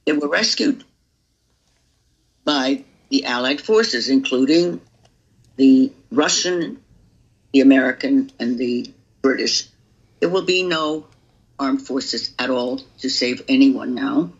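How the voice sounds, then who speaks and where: A woman in her eighties from Romania giving personal testimony as an interview guest, grave, deliberate and halting. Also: extremely low pitch, slightly rough, dull audio. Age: 80s.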